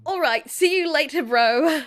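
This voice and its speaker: A woman's voice: high voice, lively intonation, unhurried, faint music behind, voice pushed up. A woman voicing a flirty, awkward female wyvern monster in an audio story, with a perky manner. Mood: awkward cheer. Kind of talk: amateur audio drama dialogue.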